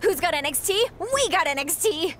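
A woman voicing an excitable, hyper fangirl wrestler in a video game. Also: very high-pitched, moderate pace, a little hiss or hum. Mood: giddy. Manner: bubbly, hyper, squealing.

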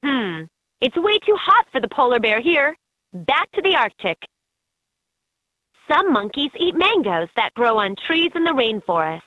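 A woman voicing an encouraging guide in a children's educational game, narrating in a clearly enunciated, instructive way. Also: sits high, very animated, unhurried, no echo, full character voice. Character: encouraging female guide in a kids' animal learning game. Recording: muffled lo-fi handheld game audio clips.

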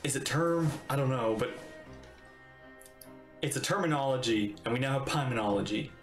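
A man's voice: medium pitch, moderate pace. A middle-aged man giving casual livestream commentary as he reacts to a game. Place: indoor room, webcam. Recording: roomy echo. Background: faint game music.

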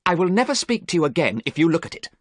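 A man voicing a vain, callous young gentleman with a haughty, emphatic, theatrical manner in an audiobook story. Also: on the low side, huge pitch swings, measured pace, dry recording, studio quality, pitched above the performer's own voice, heavily acted. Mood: alarmed, commanding.

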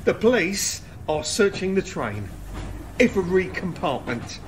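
Travelling salesman male actor: cheeky gossip, high-pitched, very lively delivery, comic radio play. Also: measured pace, noisy background. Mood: chatty.

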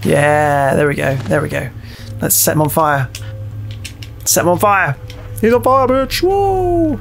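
Creaky-voiced British man gaming. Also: fairly high pitch, lively intonation, a little slow, soft background music. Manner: playful, sweary, repeats phrases in sing-song. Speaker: let's play host. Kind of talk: casual gameplay commentary.

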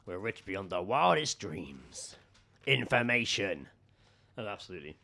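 A man co-hosting a podcast with casual comic banter, telling stories in an animated way and acting out quotes. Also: on the low side, measured pace, gravelly. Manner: animated storytelling, acts out quotes in raised voice. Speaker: podcast co-host.

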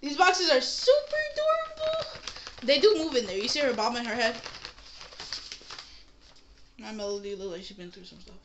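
A woman's voice: medium pitch, animated, fairly quick, pauses often. A young woman chatting casually and playfully as she shows off a shopping haul on camera in a small room. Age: young adult. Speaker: vlogger showing a shopping haul. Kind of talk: casual unboxing chat. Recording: noisy room with handling clicks.

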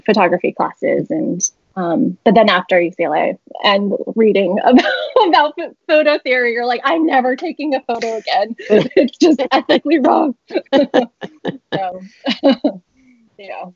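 A young woman from the Bay Area, a little raspy today, chatting as an interview guest over a video call, rambling with many fillers and laughs. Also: on the high side, expressive, normal speed, dry recording. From San Francisco Bay Area. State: slightly raspy voice. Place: home room with shelves, indoors. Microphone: laptop webcam video call. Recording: muffled video-call audio.

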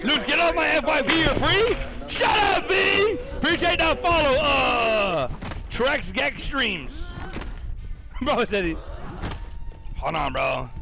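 A young man commentating a live game tournament and chatting with viewers, excitable and profane, losing his voice. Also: very high-pitched, takes it slowly, gravelly, pauses often, music in the background. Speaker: streamer casting a tournament.

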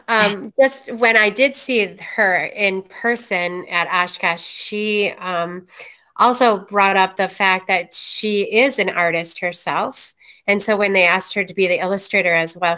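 A woman's voice: mid-range voice, pushed and bright. A woman school media teacher presenting informally to colleagues on a video call from a small room, through a laptop mic. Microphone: webcam or laptop mic.

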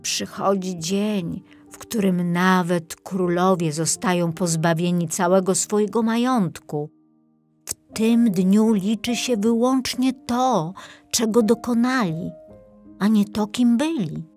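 A woman portrays an old gentleman marquis in a storybook for children. He is benevolent and indulgent, and takes his time over every phrase. Light music plays behind. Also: mid-range voice, takes it slowly. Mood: wise, tender. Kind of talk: children's storybook character acting.

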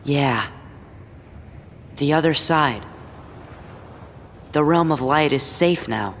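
A man's voice: on the high side, slow, gravelly, in a large hall, slight background noise, heavily acted. A young man with an American accent playing an earnest, upbeat teenage boy hero in a video game cutscene. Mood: sincere. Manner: boyish, expressive, earnest.

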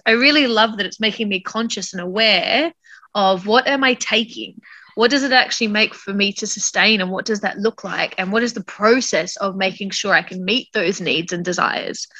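A young woman, talking reflectively to camera in a casual vlog, with many likes and lively hand gestures. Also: mid-range voice, dry recording, dull audio. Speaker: vlogger.

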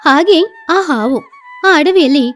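A woman narrates a children's story in a high, swooping voice. Her scripted delivery is animated, and music plays beneath it. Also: measured pace.

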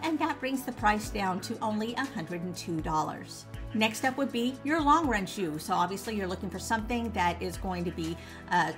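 Woman, 40s, home vlog host, slightly rough post-flu voice, upbeat emphatic delivery, faint music behind. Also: mid-range voice. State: recovering from flu, voice a bit rough. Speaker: running channel host. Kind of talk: scripted vlog talk to camera. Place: living room with bookshelves at home.

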